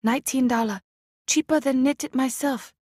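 A woman's voice: high voice, normal speed, a little mellow, husky, no echo, studio quality, voice pushed up. A woman voicing a stern older immigrant mother, speaking in clipped, broken English. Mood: matter-of-fact.

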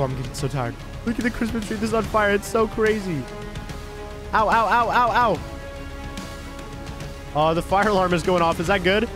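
A man voicing an excitable, frightened little boy, exclaiming breathlessly in improvised gaming commentary. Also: high voice.

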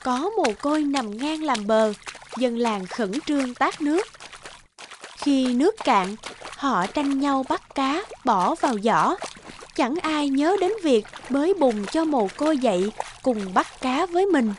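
High-pitched woman narrating a fairy tale. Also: expressive, measured pace, background noise.

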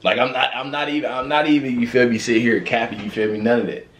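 A man's voice: mid-range voice, fairly even intonation, fast talker, slight background noise. An American man in his thirties giving casual, slangy reaction commentary, often repeating his phrases. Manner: slangy, repetitive, conversational. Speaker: reaction video host. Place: small indoor room with records on the wall. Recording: roomy echo. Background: faint music.

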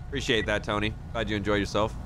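A man's voice: on the low side, little pitch movement, fast talker. A man live streaming a police roleplay game, chatting casually and informally with the odd swear word. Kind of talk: casual gaming chat. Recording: car and engine noise behind. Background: vehicle game sound.

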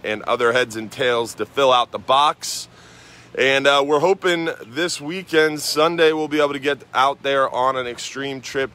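An American man giving a casual outdoor fishing and weather report for a marina, relaxed and rambling with frequent fillers. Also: mid-range voice.

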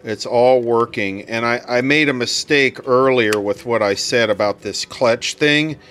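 A man's voice: medium pitch, a little slow, a bit bright, soft background music. A man talking casually and matter-of-factly through hands-on repair work, rambling a little as he goes. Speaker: radio repair hobbyist, video host.

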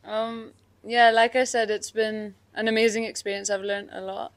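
A young woman player answering a press conference question in a shy, hesitant, soft-spoken way into a handheld mic in an indoor press room. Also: fairly high pitch, level tone, moderate pace, dry recording. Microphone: handheld microphone.

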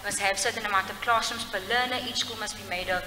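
A young woman from South Africa giving a public presentation at a podium mic, halting and correcting herself as she goes. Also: mid-range voice, steady tone, sharp tone. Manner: halting, self-correcting, apologetic asides. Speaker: civil society presenter. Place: large hall, indoors. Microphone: mic on a stand at a podium. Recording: echoey hall from a distance.

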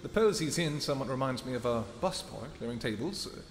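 A man's voice: mid-range voice, lively intonation, faint music behind, close to an everyday voice. A man playing a doting vampire king. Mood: amused. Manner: soft-spoken and affectionate, with hesitant pauses. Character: protective royal vampire husband, doting and tender. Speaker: audio roleplay performer. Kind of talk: scripted audio roleplay to a listener.

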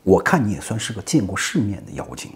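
A man's voice: fairly low pitch, big pitch swings, quick pace. A man voicing a cheeky pig monk disciple in a told story, with pompous, bluffing talk. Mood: haughty.

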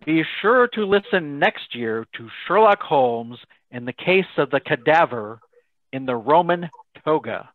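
A man playing a polished old-time radio announcer with formal, measured salesmanship. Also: on the high side, animated, dry recording. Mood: polished, promotional. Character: polished male 1930s radio announcer pitching coffee. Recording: thin, phone-like video-call audio.

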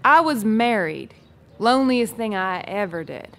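A guarded sardonic young woman in a radio play, lonely, short comebacks, lively swooping voice. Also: medium pitch, a little slow. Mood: melancholy, guarded. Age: young adult. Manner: terse, deflecting, sardonic retorts. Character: guarded, wry, lonely young small-town woman with foresight. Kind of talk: audio play acting before a live audience.

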